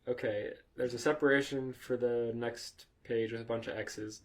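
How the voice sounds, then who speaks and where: A man's voice: medium pitch, steady tone, moderate pace. A man hosting a comedy podcast, reading aloud in a casual, sweary, amused way and breaking off for asides. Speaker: podcast host reading fan fiction aloud.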